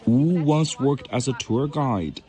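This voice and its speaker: Male reporter, mid-pitched, animated storytelling voice-over. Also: slow pace. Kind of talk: scripted news voice-over.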